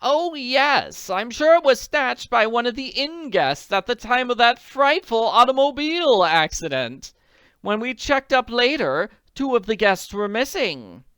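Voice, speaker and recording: A woman voicing an agitated, elegant middle-aged woman in a storybook, pleading in a breathless, drawn-out way. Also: on the high side, sing-song, fairly bright tone, smooth, no room sound, voice pushed up. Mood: distressed. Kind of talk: audiobook character voice.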